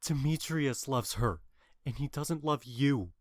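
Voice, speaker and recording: Guy acting a fickle lovestruck young man, earnest, shakespeare audio play, medium pitch, dry sound. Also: lively intonation, slow, no room sound. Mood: defensive. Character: bewitched young male lover, earnest and bluntly fickle. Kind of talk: modern-English Shakespeare audio drama acting.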